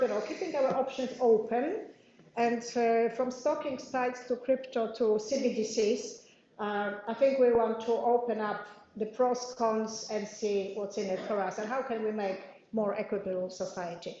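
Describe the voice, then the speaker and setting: A woman's voice: fairly high pitch, mellow. A woman in her fifties with a Polish accent, chairing and introducing a panel debate in a chatty way with many fillers. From Poland. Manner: chatty, frequent fillers and laughs. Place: parliament meeting room. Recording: very echoey room, dull audio.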